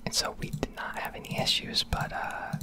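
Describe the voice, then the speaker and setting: A young man speaks in a soft, unvoiced whisper, right up at the microphone. He rambles candidly in a conversational ASMR style, swearing casually as he goes. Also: normal speed. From United States, Connecticut. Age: young adult. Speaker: ASMR streamer. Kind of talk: whispered ASMR rambling rant over gameplay.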